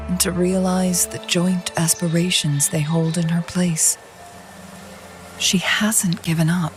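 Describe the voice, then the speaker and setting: An actress voicing a composed, astute young woman in a game, calm, enigmatic and measured. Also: fairly low pitch, lightly acted. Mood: gentle, solemn. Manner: measured, poised, deliberate. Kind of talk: dramatic game voice acting.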